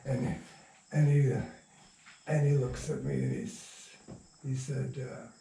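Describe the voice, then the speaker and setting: An older man being interviewed, telling stories in a halting, rambling way with trailing thoughts. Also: mid-range voice, flat delivery, creaky, warm tone. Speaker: interview guest, radio play producer. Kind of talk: casual reminiscing interview. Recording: roomy, distant mic, faint noise.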